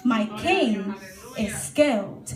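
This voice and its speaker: A young woman with a high voice recites a rhymed personal testimony as spoken word. Her delivery is dramatic and measured, and the room rings with echo. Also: expressive, soft background music. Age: young adult. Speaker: spoken word poet at a church youth service. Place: church hall during a live service. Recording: echoey live church recording.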